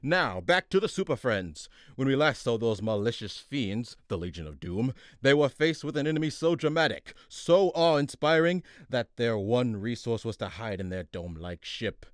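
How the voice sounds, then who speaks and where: A man's voice: medium pitch, very animated, normal speed, few pauses, no echo, studio quality, soft background music, natural voice. A man playing a disgruntled, theatrical radio announcer in a parody of old serials, switching between grandiose and petulant.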